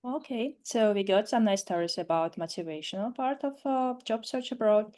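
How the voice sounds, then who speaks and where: A woman's voice: medium pitch, lively intonation, moderate pace, dark tone. A woman moderating an online panel, brief and businesslike. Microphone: video call.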